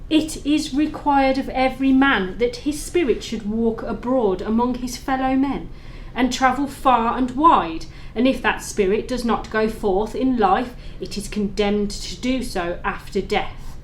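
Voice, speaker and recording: A woman's voice: on the high side, a little hiss or hum, rough recording. A woman playing the mournful, tormented ghost of a dead business partner, speaking solemnly in an audio play with wailing laments. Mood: anguished, solemn. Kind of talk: library audio play acting.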